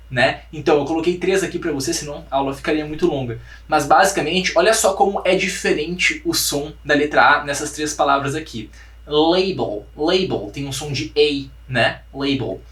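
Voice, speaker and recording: A young Brazilian man gives an online language lesson, his voice pitched a little high for a man. He repeats practice words slowly and keeps asking his students whether they follow. The sound is roomy and echoey, as if he stands some way from the mic. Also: a bit bright, far from the mic. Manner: drills pronunciation by repeating words slowly, checks in with "tá, gente?". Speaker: language teacher. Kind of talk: online class lecture.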